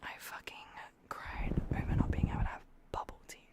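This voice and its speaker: A young adult woman chatting casually to livestream viewers in a hushed, breathy whisper with creaky edges, keeping her voice down. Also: fairly quick. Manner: keeping voice down late at night, swearing freely.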